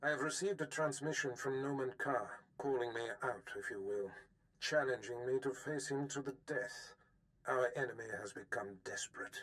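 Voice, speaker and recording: Guy doing an older sith master villain for a game, medium pitch, scheming n haughty, very theatrical. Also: moderate pace, fairly bright tone, natural voice, poor audio. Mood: smug. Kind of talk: video game voice acting.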